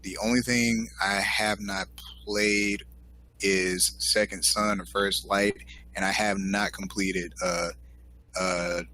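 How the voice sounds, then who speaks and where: A man chatting as a podcast guest, telling stories in an animated, chatty way. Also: lowish voice, slow, a little hiss or hum, rough recording. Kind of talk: casual podcast chat.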